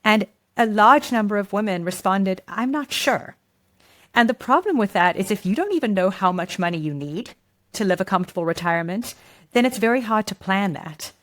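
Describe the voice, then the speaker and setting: A woman's voice: mid-range voice, lively intonation, normal speed. A middle-aged woman with a South African accent, a guest on a podcast interview, explaining things at length in a conversational way. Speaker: guest, marketing director.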